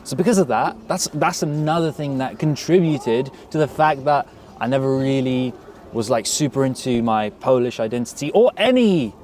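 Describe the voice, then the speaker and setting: A man's voice: medium pitch, expressive, moderate pace, darkish tone, slight background noise. A man with an English accent being interviewed outdoors, talking in a reflective, earnest way and repeating words as he thinks. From grew up in England. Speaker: interview guest. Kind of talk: casual interview. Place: outdoors at a street event.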